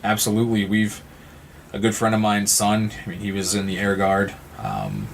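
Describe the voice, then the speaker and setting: Low-voiced man answering haltingly in an interview. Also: creaky, slight background noise. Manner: halting, repeats words and restarts. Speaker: guest from a suicide-loss nonprofit.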